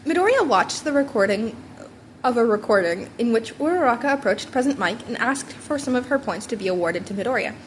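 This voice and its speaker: A woman's voice: fairly high pitch, expressive, fairly quick, slight background noise, low-quality recording. A woman narrating fan fiction expressively and informally, sometimes stumbling over words and rereading them. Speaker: fan fiction audiobook reader. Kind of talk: expressive scripted story narration.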